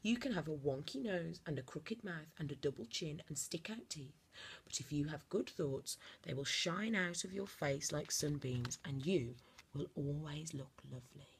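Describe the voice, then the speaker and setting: A woman reading a children's storybook aloud like a teacher, expressively, with theatrical emphasis and deliberate dramatic pauses. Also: sits low, vocal fry, a little hiss or hum.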